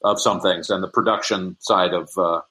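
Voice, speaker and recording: Low-pitched man explaining in casual interview. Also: steady tone, moderate pace, pushed and bright. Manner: explanatory, conversational. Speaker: interview guest, audio drama producer.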